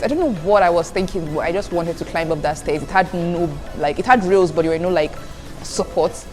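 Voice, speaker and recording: Chatty young woman, lowish voice. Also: fairly quick, darkish tone. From Nigeria. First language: Igbo. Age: young adult. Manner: chatty, addresses viewers as guys, giggles between answers. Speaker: student vlogger answering questions. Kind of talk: casual chat to viewers. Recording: background music under voice.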